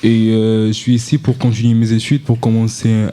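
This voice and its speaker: Young man, flat mellow voice. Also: fairly low pitch, moderate pace. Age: young adult. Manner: soft-spoken, hesitant. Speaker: student guest.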